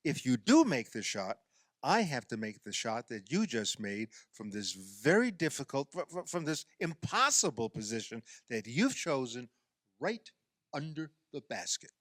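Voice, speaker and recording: A man's voice: medium pitch, sing-song, unhurried, fairly bright tone, no room sound. An actor in a radio play playing an exasperated man who coaxes and explains insistently, often stammering. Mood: flustered. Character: exasperated man coaxing a woman through a playground basketball game.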